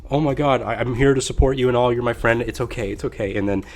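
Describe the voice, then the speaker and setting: A man's voice: medium pitch, fast, darkish tone. A man hosting a podcast in casual chat, laid-back and affirming with slangy asides. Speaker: podcast host.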